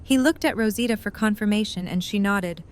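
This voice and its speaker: A woman's voice: mid-range voice, fairly quick. Story narrator, female, middle register, scripted prose over some background ambience. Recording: faint background ambient noise.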